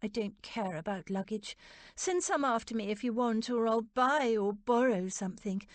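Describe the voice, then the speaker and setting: Woman playing a decisive, lively young noblewoman. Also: a little high, no echo, voice pushed up, natural voice. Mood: brisk, resolute. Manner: concerned, brisk, practical. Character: caring, decisive young aristocratic English lady.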